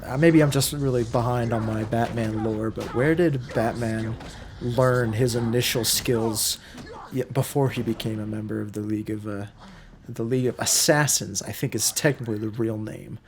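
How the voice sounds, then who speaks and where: Hoarse, chatty young man reacting to a film. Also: mid-range voice, moderate pace, slight background noise. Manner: chatty, excitable, lots of fillers and laughs. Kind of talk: casual movie reaction commentary.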